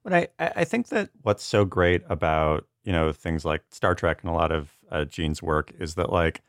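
Casual panelist: man, very low voice, loose and halting conversational delivery, dry studio-tight sound. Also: animated, no echo. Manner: halting, informal, full of "like" and "you know". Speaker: comedy writer.

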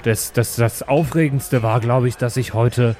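A man's voice: mid-range voice, steady tone, a little slow, darkish tone, natural voice. A man playing a sociable, chatty young adventurer in a tabletop game, talking in an earnest, rambling way. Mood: friendly. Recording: music and faint crowd sounds behind.

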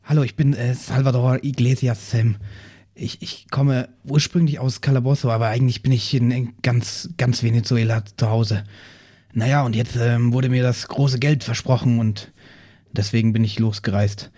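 A man introducing his role-play character in a podcast, relaxed and hesitant. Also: medium pitch, normal speed. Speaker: player.

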